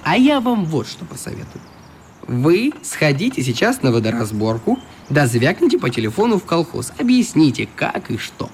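A man's voice: a little high, normal speed. A man playing a good-natured, plain-spoken young boatman in a radio play. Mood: friendly, helpful. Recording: light steady background noise.

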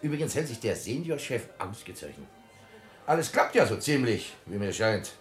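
A man's voice: on the low side, very animated, moderate pace, soft background music. An actor playing a brash, impatient businessman in a radio drama, blustering, demanding and sarcastic. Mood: offhand, sneering. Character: brash, impatient, sneering son-in-law in business. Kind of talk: German radio drama acting.

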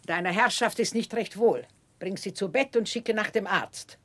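Husky, brusque, imperious aunt played by an actress. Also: female voice, mid-range voice, moderate pace, fairly bright tone, close to an everyday voice. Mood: indignant. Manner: gruff, curt, snapping. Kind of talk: 1960s German radio drama acting.

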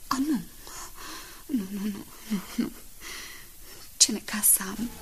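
A woman's voice: medium pitch, a little hiss or hum, close to an everyday voice. An actress playing an anxious, evasive young daughter-in-law in a radio drama, hesitant and repeating her words in agitation.

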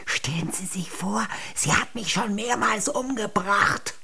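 A woman's voice: mid-range voice, measured pace, creaky, sharp tone, breathy edge, full character voice. An actress playing a limp, melancholy, scheming queen in an absurdist radio drama, imperious yet needy. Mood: confiding.

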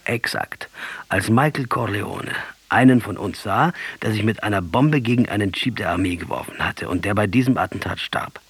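A man's voice: on the low side, moderate pace, slightly rough, no echo. An actor playing a proud, fervent Argentine guerrilla commander in a radio drama, lecturing and sardonic as he argues. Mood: insistent. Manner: didactic, sardonic, rhetorical storytelling.

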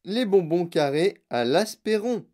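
A woman plays an eccentric man who makes candy, a bossy showman in a storybook. The voice is raised and swings widely in pitch. Every phrase comes out emphatic, exclaimed and insistent. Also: lively intonation, normal speed, dark tone, natural voice. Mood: triumphant, excited. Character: excitable, bossy eccentric male candy maker, triumphant showman.

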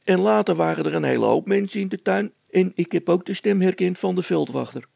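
A cheeky storybook poacher boy, acted out by a man, pitched well up high. He is boastful and defiant in a colloquial way, and the sound is close and dry. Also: animated, a little fast, gravelly, a little mellow, slightly rough, dry recording, full character voice. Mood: boastful, confiding. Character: cocky, mocking young poacher boy.

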